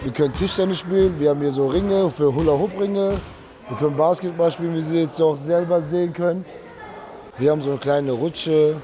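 A man's voice: fairly high pitch, brisk, a crowd in the background. A man in his thirties, an inmate talking informally in an interview. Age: 30s. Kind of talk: plain informal interview talk.

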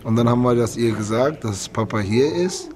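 A man's voice: medium pitch, lively intonation, normal speed, background noise. A man in his thirties, an inmate talking informally in an interview. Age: 30s. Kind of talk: plain informal interview talk.

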